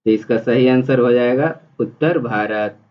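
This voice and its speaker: A man's voice: mid-range voice, a little slow. A man teaching exam prep, reading multiple-choice questions and explaining the answers in an instructional, repetitive way. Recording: dull, muffled lo-fi audio.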